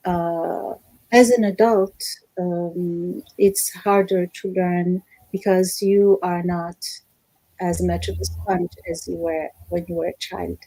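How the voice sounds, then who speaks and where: A woman, a language teacher, giving measured explanatory interview answers over a video call, with frequent ums. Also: lowish voice, steady tone, dark tone, no echo, low-quality recording. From Algerian American, born in Morocco. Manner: measured, explanatory, many fillers.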